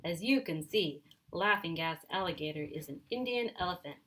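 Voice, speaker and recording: A woman voicing an excitable, stubborn, boastful male storybook character in an insistent, exclamatory way. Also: on the low side, moderate pace. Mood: proud, insistent.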